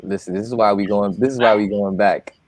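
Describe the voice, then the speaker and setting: A man's voice: lowish voice, fairly even intonation, brisk, darkish tone, no echo, poor audio. A man co-hosting a podcast, laid-back, mostly giving brief reactions. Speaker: podcast co-host. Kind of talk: casual podcast chat.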